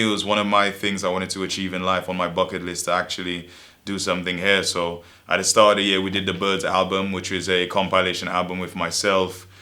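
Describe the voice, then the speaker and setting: Low, flat-voiced Saint Lucian-London rapper. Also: male voice. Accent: Saint Lucian mixed with East London. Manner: laid-back, rambling with many asides. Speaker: rapper and songwriter, guest. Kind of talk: casual interview talk.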